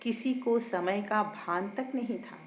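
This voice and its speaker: A woman narrating a scripted story in a deliberate, expository manner. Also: mid-range voice. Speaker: author narrating her own serial story. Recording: roomy echo, faint background noise.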